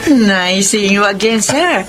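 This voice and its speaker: An actress with a Filipino accent playing a playful, effusive society guest in a radio drama. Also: female voice, medium pitch, animated, slow. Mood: playful, sociable.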